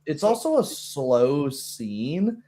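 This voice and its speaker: A man's voice: on the high side, big pitch swings, slow pace, a crowd in the background. A man hosting a casual, informal group chat after a tabletop game as the game master. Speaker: game master and stream host.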